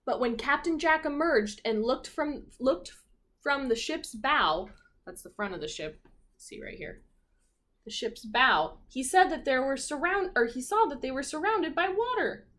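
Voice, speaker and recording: A woman teacher reading a picture book to young children, expressively, with chatty asides and questions. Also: sits high. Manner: expressive, pausing to comment on pictures and prompt children. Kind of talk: storybook read-aloud with asides and questions to young listeners.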